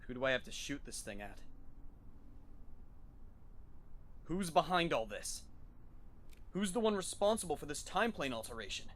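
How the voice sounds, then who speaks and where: A man voicing a hesitant, bewildered teenage schoolboy in an anime fan dub, speaking haltingly. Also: fairly high pitch, big pitch swings, a bit bright. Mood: demanding, tense.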